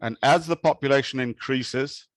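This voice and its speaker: A man from Kenya giving an academic talk with slides, speaking in a measured, thoughtful way with occasional restarts. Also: medium pitch, no echo. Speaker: conference speaker.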